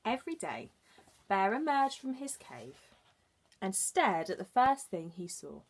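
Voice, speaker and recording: A woman reads to small children as an early years teacher. Her delivery is slow and very melodic, swinging up and down. She adds simple explanations along the way. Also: mid-range voice, slightly rough. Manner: expressive, explaining for young children. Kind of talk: children's storybook narration with asides to listeners.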